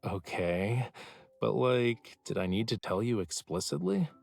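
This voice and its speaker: Low-voiced, teasing young man. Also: very animated, unhurried, gravelly, darkish tone, heavily acted. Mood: awkward, teasing. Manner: casual, playfully teasing. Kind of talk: voice acting in a romance game. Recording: music behind the voice.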